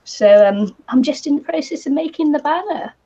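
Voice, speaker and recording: A woman with a New Zealand accent chatting casually on a live craft stream, her voice croaky today, rambling with lots of ums and little laughs. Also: high-pitched, expressive, normal speed, mellow. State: croaky voice from the heat. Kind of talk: casual chat while crafting. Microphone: computer or camera mic with a fan nearby. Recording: slightly dull lo-fi sound.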